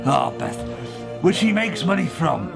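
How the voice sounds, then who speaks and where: A man acting a fretful, old-fashioned father of a grown daughter in a radio play, with a gravelly voice. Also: fairly high pitch, sing-song, measured pace, over music, full character voice. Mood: disapproving.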